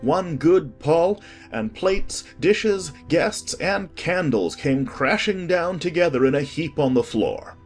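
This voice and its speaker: A man narrating a scripted storybook in an expressive, deliberate way. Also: a little high. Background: music.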